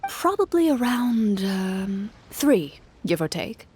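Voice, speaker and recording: A woman voicing a composed, formal young swordswoman in a video game, telling things in a measured, reserved, matter-of-fact way. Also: medium pitch, big pitch swings, darkish tone, a little hiss or hum. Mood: calm, reminiscing. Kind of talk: video game voice acting, storytelling.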